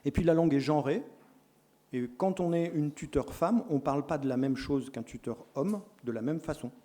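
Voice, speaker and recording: A middle-aged man lecturing teacher tutors, explaining points with lively emphasis and quick self-corrections. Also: a little high. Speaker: lecturer in education sciences.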